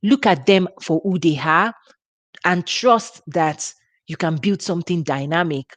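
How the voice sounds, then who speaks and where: Female ministry host, Nigerian accent, chatty and informal, slightly low pitch. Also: unhurried, no echo. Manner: conversational, with frequent restarts and laughs. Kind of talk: informal teaching talk.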